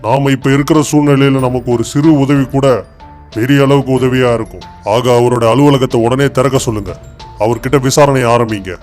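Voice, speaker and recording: A man plays a formal man of authority in an old kingdom, for a cartoon folktale. The voice is mid-pitched and mellow, with measured dignity and faint music underneath. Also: fairly even intonation, lightly acted. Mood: commanding. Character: authoritative officials and king of an old Indian kingdom. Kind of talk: acted dialogue in animated moral tale.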